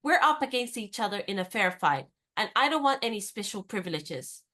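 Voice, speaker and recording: An actress playing a spirited, competitive young woman in a radio play, brisk, teasing and confident. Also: medium pitch, very animated, sharp tone.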